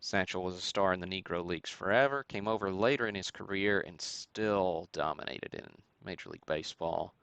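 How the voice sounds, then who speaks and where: Low, gravelly-voiced man hosting a livestream. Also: brisk, few pauses, a bit bright, no echo. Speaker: host of a sports card break stream. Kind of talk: live show-and-tell commentary on vintage cards.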